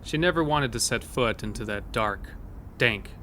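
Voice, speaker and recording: A man's voice: medium pitch, expressive, normal speed, a little hiss or hum. A man narrating a scripted horror story as the storyteller of an audio drama. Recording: music bed under the voice. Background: loud music.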